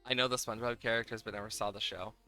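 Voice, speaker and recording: A man's voice: lowish voice, steady tone, very fast, sharp tone, soft background music. A man livestreaming a game, talking casually and playfully as he thinks aloud in a rambling way. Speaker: livestreamer, host. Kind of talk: casual live game-stream chat, reacting to game and viewers.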